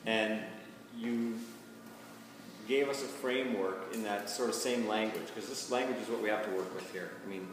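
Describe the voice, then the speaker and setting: A man's voice: fairly low pitch, moderate pace, noisy background. A man moderating an informal discussion in a wordy, deliberating way. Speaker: moderator prompting the speaker. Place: large reverberant room. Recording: distant in echoey hall, music behind.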